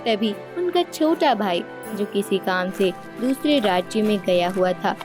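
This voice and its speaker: A woman's voice: medium pitch, animated, unhurried. A woman narrating a scripted cartoon story. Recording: music under the voice. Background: background music.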